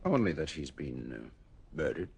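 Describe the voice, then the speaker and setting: Sardonische Ierse privédetective. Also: male voice, extremely low pitch, big pitch swings, normal speed, vocal fry, darkish tone, husky, full character voice. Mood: probing. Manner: dry, sardonic quips. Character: wisecracking Irish private detective man. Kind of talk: 1950s radio drama acting.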